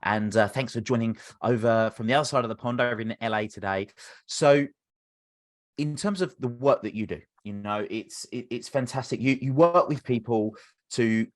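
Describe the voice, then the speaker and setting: A man hosting a podcast, reading a guest introduction and then asking questions with some halting repeats. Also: mid-range voice, no room sound, clean studio sound. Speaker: podcast host.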